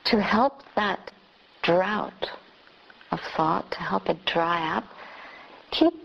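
A woman who is a Buddhist nun leading a guided meditation, speaking in short phrases with long pauses. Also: lowish voice, animated, gravelly, lo-fi sound.

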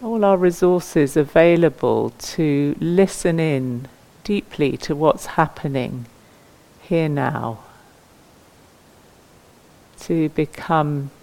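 A woman teaches meditation in a gentle, unhurried way. Her phrases come slowly with long pauses between them, and the voice often settles into a soft creak. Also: deep voice, rough recording. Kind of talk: guided meditation.

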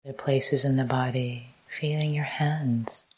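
A woman teaching a guided meditation, speaking softly and calmly in short repeated phrases, often close to a whisper. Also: very deep voice, slow pace, poor audio. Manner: soft, breathy, often near-whispered delivery. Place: meditation retreat talk.

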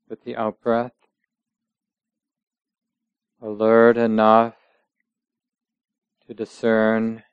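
Slow, low-voiced man guiding meditation. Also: clean tone, dry recording, lo-fi sound. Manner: measured, gentle, with long pauses between short phrases. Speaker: meditation teacher. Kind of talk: guided meditation instruction.

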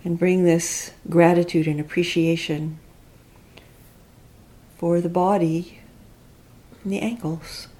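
A woman with a dark, relaxed, fairly low voice leads a guided meditation. She speaks very slowly and gently, leaving long pauses between short, reflective instructions. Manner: unhurried, gentle, reflective phrasing. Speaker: meditation teacher leading a guided body scan.

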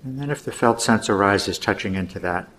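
Male meditation teacher with a lowish voice, leading guided practice gently, leaving pauses between instructions.

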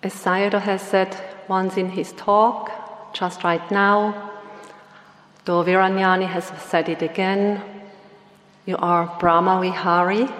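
Slow-spoken woman teacher in echoing hall. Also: mid-range voice. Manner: slow, gentle instructional delivery. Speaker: meditation teacher. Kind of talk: Dhamma talk. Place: meditation hall. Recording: roomy hall with long reverb.

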